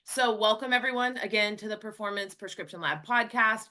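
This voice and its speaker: A woman's voice: medium pitch, brisk, bright, forceful tone. A woman hosting a podcast interview, encouraging her guest and laughing along. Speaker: podcast host.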